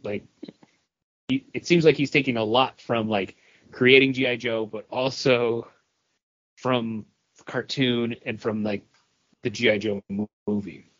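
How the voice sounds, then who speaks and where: A man's voice: medium pitch, fairly even intonation, a little slow, pauses often, fairly bright tone. A man with a mid-range voice talks as a podcast co-host, rambling along with a fan's enthusiasm. The style is casual and conversational, and the recording is slightly muffled and lo-fi. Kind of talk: casual comic book review chat. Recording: dull, lo-fi podcast audio.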